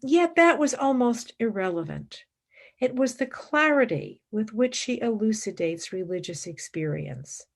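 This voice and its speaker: A woman's voice: on the high side, animated, measured pace, gravelly, heavily acted. An actress playing a fervent, devout woman philosopher and mystic in a radio play, speaking in intense, formal, reverent declamation. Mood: calm, resolute. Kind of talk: radio play acting, monologue and dialogue.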